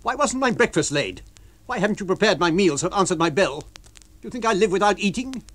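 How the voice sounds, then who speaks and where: A shrill, indignant country landlady, put on by a male storyteller, scolding in thick rustic dialect. Her voice leaps high and swings widely as she rails. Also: animated, fairly quick, fairly bright tone, no echo.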